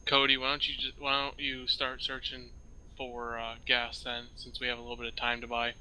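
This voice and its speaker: A man's voice: mid-range voice, completely flat delivery, a little fast, bright, forceful tone, a little hiss or hum, low-quality recording. A man playing a role-play game, talking casually as he states his moves and questions. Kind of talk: casual game-table talk.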